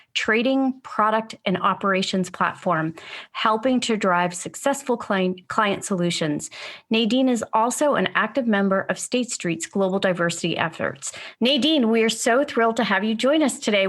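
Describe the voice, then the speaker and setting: A woman's voice: medium pitch, normal speed, fairly bright tone. A woman hosting a podcast, reading a scripted intro and then chatting with her guest in an upbeat, enthusiastic way. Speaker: podcast host.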